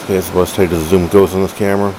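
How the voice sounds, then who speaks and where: A middle-aged man giving a casual, unscripted hobbyist demo at home, halting and rambling as he corrects himself. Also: low voice. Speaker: hobbyist collector reviewing a radio. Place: room at home, indoors. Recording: noisy room with steady background hum.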